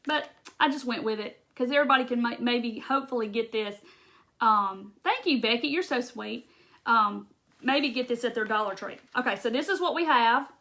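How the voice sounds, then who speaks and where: Southern woman, live craft host; crisp bright tone, chatty and rambling, talks right to viewers. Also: on the high side, moderate pace. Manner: chatty, talks straight to viewers and answers comments; rambling with restarts and laughs.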